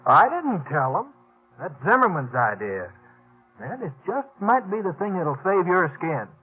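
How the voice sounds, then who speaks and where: An actor playing a wary, stubborn captive in an old-time radio western, speaking in a guarded, dry mutter. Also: male voice, high voice, very animated, measured pace, soft background music. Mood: tense, weary. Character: wary, stubborn captive man, dryly defiant. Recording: noisy, narrow old radio recording.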